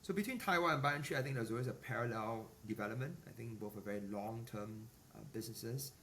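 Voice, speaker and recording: A man in his forties from Singapore, a business executive answering podcast interview questions in a measured way with many fillers and restarts. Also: mid-range voice, bright, forceful tone. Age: 40s. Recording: distant mic with faint ambient noise.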